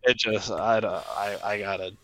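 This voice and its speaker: A man's voice: on the low side, normal speed, a crowd in the background. A man co-hosting a casual conversation about tabletop gaming, with a playful, joking manner and a taste for exaggeration. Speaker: co-host.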